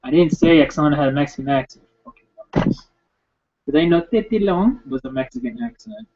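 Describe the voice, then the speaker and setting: A man ranting casually and sarcastically about wrestling, rambling and slipping into quick impressions. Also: fairly high pitch, very animated, creaky, a little mellow. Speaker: wrestling commentator. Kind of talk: casual rant. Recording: tinny phone-like lo-fi sound.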